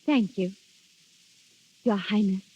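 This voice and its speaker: A woman's voice: medium pitch, slow pace, mellow, husky. An actress playing a dreamy young noblewoman in love, wistful and formal, in a 1930s radio drama. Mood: thrilled, gracious. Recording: muffled vintage 1930s radio recording, faint music.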